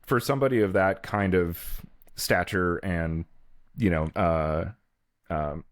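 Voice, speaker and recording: Male podcast co-host, deep voice, dry close sound, loose and rambling conversational delivery. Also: slow pace, no room sound. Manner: rambling, peppered with "like" and "you know". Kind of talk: casual chat about films.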